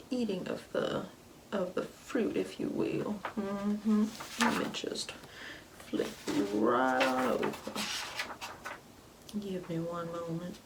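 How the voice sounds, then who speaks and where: Woman acting out a slow, sanctimonious scolding Karen mother. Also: medium pitch, heavy background noise. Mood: smug, fussy. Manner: condescending, preachy, drawn-out emphatic phrasing with breathy whispering. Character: sanctimonious, scolding, Bible-quoting Karen mother, ignorant and righteous. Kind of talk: ASMR comedy roleplay.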